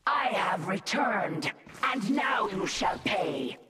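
A woman's voice: mid-range voice, lively intonation, slow, pushed and bright. An actress playing a fierce, arrogant female insectoid alien broodmother in a video game, with haughty, taunting declarations. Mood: enraged. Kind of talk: video game villain acting.

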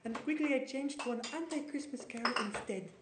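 Very high, fussy, loving mama voice put on. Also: a little fast, roomy sound, noisy background, lightly acted. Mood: hushed caution. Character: fussy, loving, secretive Soviet mama in a radio play. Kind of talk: old-time radio comedy acting.

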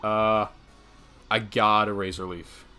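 Low-voiced excitable man streaming a game. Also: slow pace, smooth. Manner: profane, excitable, repeats himself. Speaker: livestream gamer. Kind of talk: casual game commentary with a friend. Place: indoor room, streaming webcam setup. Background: video game music.

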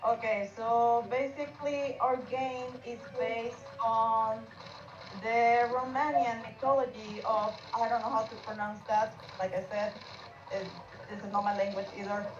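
A woman speaking English as a second language explains a project casually, halting and a little apologetic. Her fairly high voice comes over a roomy, muffled call with music underneath. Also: fairly even intonation. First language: not English. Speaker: game artist presenting team project.

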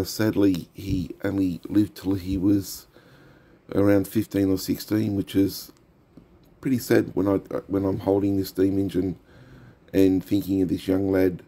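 Casting: middle-aged Australian man, nasal from a head cold, lowish voice, casual unhurried narration. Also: flat delivery, mellow. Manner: slow, thoughtful, with frequent fillers and restarts. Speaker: steam engine collector. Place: home workshop room.

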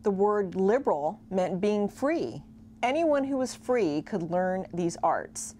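A woman's voice: medium pitch, slow. A woman giving scripted explanatory narration as a college advisor. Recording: faint steady hum and noise.

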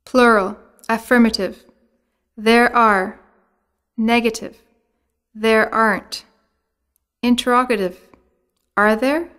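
A woman's voice: fairly high pitch, steady tone, takes it slowly, pauses often, fairly bright tone, clean tone. A woman narrating a language course, reading scripted words and sentences with careful enunciation. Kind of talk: scripted lesson reading, word drills.

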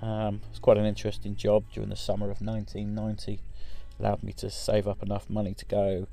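A man's voice: on the low side, completely flat delivery, fast, gravelly, dark tone. A man in his forties giving a casual, rambling voice-over for his driving footage, with many ums and restarts. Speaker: vlogger.

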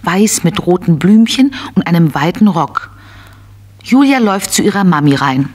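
A woman's voice: lowish voice, expressive, moderate pace, a little mellow, husky. A woman narrating a scripted children's story as part of a radio play.

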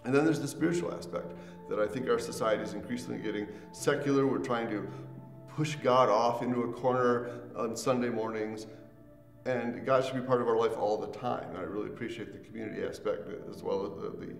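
A middle-aged man giving an unscripted testimonial in a measured, matter-of-fact way. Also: medium pitch, fairly even intonation, vocal fry. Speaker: parent and student giving a testimonial. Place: small indoor room with a couch. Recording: roomy echo, distant mic, faint music behind.

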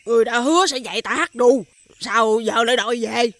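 Woman voicing a high-pitched, imperious demon mother. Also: brisk, no echo, natural voice. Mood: stern, suspicious. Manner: commanding, haughty. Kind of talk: children's fairy-tale cartoon dubbing. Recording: music behind the voice.

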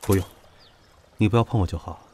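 Royal bodyguard male actor: lowish voice, formal, curt, wary, period radio drama. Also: fairly quick, darkish tone, a little hiss or hum, lightly acted. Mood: cold, guarded. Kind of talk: Chinese costume audio drama acting.

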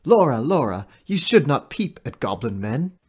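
A husky-voiced, eerie goblin creature played by a man from an audio drama. It recites verse in a slow, ominous chant, wearing the shape of a lost child. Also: mid-range voice, very animated, darkish tone. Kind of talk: audio play acting.

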